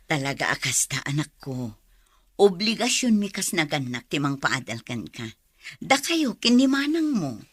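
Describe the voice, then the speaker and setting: A woman's voice: low-pitched, very animated, normal speed, husky, no echo, exaggerated voice. An actress playing a tender, supportive middle-aged mother in a radio drama, with a northern Philippine accent. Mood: tender, pleased.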